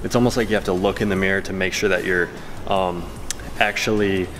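Relaxed man coaching in echoey gym. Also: deep voice, a little fast, noisy background. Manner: relaxed, talking between sets with fillers. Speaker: fitness vlogger and trainer. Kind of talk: casual workout instruction to camera. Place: large indoor gym. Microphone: camera-mounted mic, camera on a stand. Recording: reverberant gym hall.

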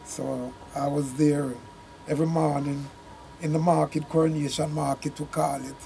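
An older man with a Jamaican accent, a reggae singer telling his story in a relaxed, rambling way in a small studio room. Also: on the high side, vocal fry, a little hiss or hum. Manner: unhurried, rambling recollection with Jamaican turns of phrase. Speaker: reggae singer and sound system man, interview guest.

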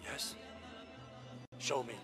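Slow, commanding expedition leader. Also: male voice. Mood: curt, expectant. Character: commanding, measured male doctor leading shadowy expedition. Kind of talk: video game cutscene acting. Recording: clear music behind the voice.

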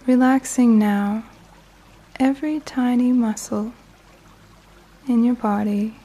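Female meditation guide: soft and soothing, slightly high yet mellow, unhurried and calming delivery for sleep. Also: husky. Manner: gentle, calming, unhurried with long pauses. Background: soft music and flowing water nature sounds.

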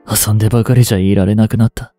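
A man in his twenties playing a remorseful, grieving young hitman in a voice drama, breathy and anguished. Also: lowish voice, steady tone, mellow, no room sound, faint music behind, lightly acted. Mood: resigned, pained. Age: 20s. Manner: anguished, halting, intimate. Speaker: voice actor. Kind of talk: Japanese voice drama monologue, emotional acting.

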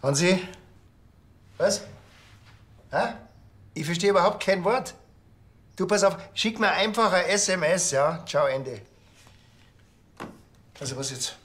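A man's voice: high-pitched, slow, long pauses, fairly bright tone. An actor playing a curt, sarcastic small-town policeman with a Bavarian accent in a TV crime comedy. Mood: impatient, sarcastic. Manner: curt, dry.

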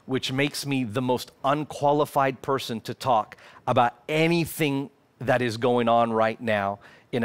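A man in his thirties with an Australian accent, preaching a sermon into a headset mic on a church stage, emphatic and shifting between fervent and hushed. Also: mid-range voice. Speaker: pastor. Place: church stage in front of a lit screen.

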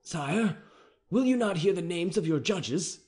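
A man's voice: high voice, lively intonation, a little slow, darkish tone, pitched above the performer's own voice. A man reading an audiobook, voicing a nervous, deferential army captain who stammers and falters. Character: nervous young male army captain, hesitant.

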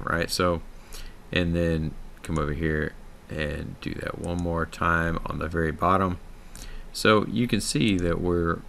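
A man talking casually through a software tutorial at his computer, with many fillers and restarts. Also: deep voice, creaky, a little hiss or hum. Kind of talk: screen-recorded software tutorial.

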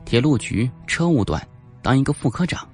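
A teasing, jealous young policewoman acted by a male audiobook reader. The voice is pitched up and lively, with a bossy edge. She sounds sharp-tongued and quick to scold. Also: faint music behind. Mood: brisk. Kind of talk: audiobook character acting.

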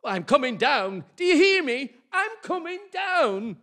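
A man's voice: extremely high pitch, sing-song, unhurried, sharp tone, full character voice. A man playing an impatient, frightened husband in a radio play, muttering and calling out. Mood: horrified. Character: husband climbing a tower, impatient, increasingly frightened.

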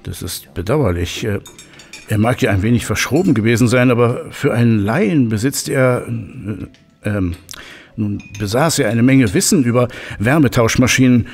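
A man playing an excitable, learned professor in a German audio drama, given to muttering, humming and exclaiming. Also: medium pitch, moderate pace, slightly rough. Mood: shocked. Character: excitable, learned male professor and steam technology expert.

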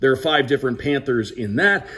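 A man's voice: medium pitch, lively intonation, brisk, a bit bright. A man hosting an unboxing video with casual, upbeat commentary, talking straight to the viewers. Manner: upbeat, addresses viewers directly. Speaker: sports broadcaster hosting a card unboxing. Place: indoor room at a wooden table.

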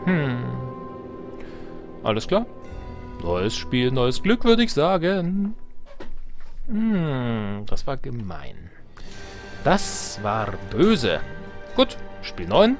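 A man's voice: mid-range voice, sing-song, drawn-out words, long pauses. A man giving casual let's play commentary, reacting aloud and muttering to himself as he plays. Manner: talks to himself, exclaims and mutters in reaction to the game. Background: game music.

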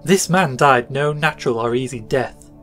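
A man's voice: mid-range voice, moderate pace, fairly bright tone, faint music behind, lightly acted. A man playing a grave surgeon who speaks firmly and urgently in a storybook reading. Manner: firm, urgent. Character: grave male surgeon, soothing but firm.

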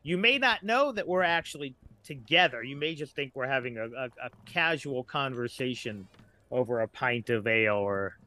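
A man's voice: mid-range voice, animated, moderate pace, pushed and bright. A middle-aged man talking casually as a writer guest on a podcast.

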